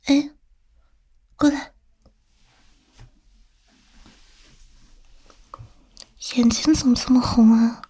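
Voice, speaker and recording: A woman's voice: fairly high pitch, steady tone, warm tone. A woman playing a teasing, coaxing female boss flirting with a younger employee in an intimate, whispered audio roleplay. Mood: tender soothing. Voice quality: breathy, whispery. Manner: slow, coaxing, tender, teasing.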